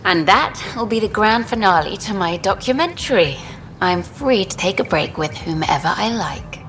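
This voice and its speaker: An actress playing an earnest, bold documentary reporter in video game dialogue. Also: female voice, mid-range voice, expressive, normal speed, a bit bright. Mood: determined, warm. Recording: faint background noise.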